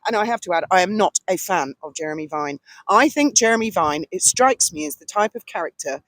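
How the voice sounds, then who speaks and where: A woman's voice: fairly low pitch, expressive, a little fast. A British woman co-hosts a casual vlog with a big, carrying voice. She is blunt and opinionated in the way she talks. The recording is dry, with hardly any echo. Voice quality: loud, carrying voice. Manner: blunt, outspoken. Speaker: vlog co-host. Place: outdoors on a boat deck at a marina, breezy evening. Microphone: cheap handheld microphone passed between speakers.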